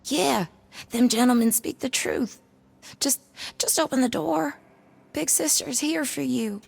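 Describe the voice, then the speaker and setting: High, creaky big sister. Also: female voice, unhurried, a little hiss or hum, exaggerated voice. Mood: tearful relief, tender. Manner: folksy, unschooled, tender speech. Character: humble, protective big sister, plain-spoken and grateful. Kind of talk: emotional video game dialogue.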